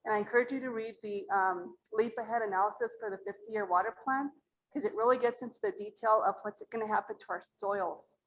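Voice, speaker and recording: A low-voiced woman from Mora, New Mexico, addresses a community meeting in a library hall. She talks in a plain, earnest way with a touch of self-deprecation, at a middle pitch, over faint background noise. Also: fairly quick. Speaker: acequia association representative.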